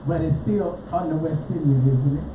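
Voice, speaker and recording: A woman's voice: deep voice, normal speed, background noise. Chatty woman hosting community radio, roomy. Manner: chatty, loud announcing, nonstandard grammar. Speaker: radio host. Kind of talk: casual radio interview. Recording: echoey room, mic far off, music behind.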